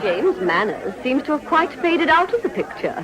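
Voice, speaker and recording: A woman's voice: sits high, very animated, normal speed, a crowd in the background, low-quality recording. An actress playing an inquisitive society lady gossiping in a gushing, genteel way in a period radio drama. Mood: amused, gossipy.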